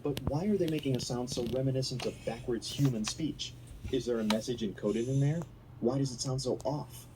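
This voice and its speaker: A man's voice: medium pitch, a little fast. Man narrating analytically over background noise. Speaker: video essay narrator.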